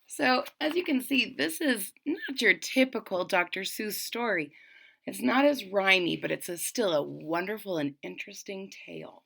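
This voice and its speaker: A woman's voice: medium pitch, animated, moderate pace, creaky, fairly bright tone. A woman reading a children's picture book aloud expressively, with explanatory asides as a storyteller.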